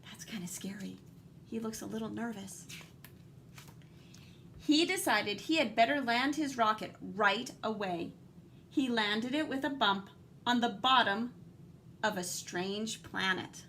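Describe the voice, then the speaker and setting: A woman reading a picture book aloud like a teacher, animated and dramatic, with guiding asides to young listeners. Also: on the high side, unhurried, long pauses, a little hiss or hum.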